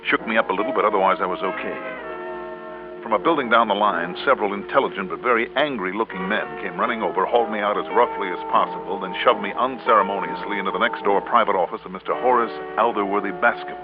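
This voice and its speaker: Male actor as tough, clipped American private investigator narrating a vintage radio drama. Lowish voice, thin band-limited sound. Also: quick pace, phone-quality sound, over music, close to an everyday voice. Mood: wry, narrating. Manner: clipped, wry, hard-boiled. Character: cool tough insurance investigator narrating his case. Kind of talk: classic American radio drama acting, first-person narration.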